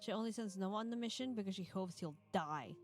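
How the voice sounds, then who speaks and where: A woman streamer reading a visual novel aloud, expressive, casual and blunt between passages. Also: medium pitch, fairly quick, soft background music.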